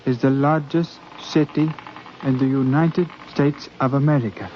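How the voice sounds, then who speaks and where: Man playing slow, earnest boy pupil, old radio. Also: medium pitch, steady tone, a little mellow, natural voice. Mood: careful reciting. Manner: halting, careful, simple phrasing. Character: devoted African boy, earnest, studious pupil. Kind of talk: 1950s radio drama acting. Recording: muffled, hissy 1950s radio recording.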